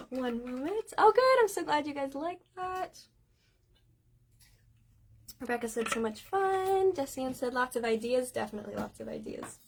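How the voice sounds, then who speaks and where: A woman hosting a cake decorating livestream in a relaxed, chatty way, filling pauses with ums. Her voice sits a little high, and she is losing her voice. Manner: chatty, many ums, reads out viewer comments. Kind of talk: casual livestream chat.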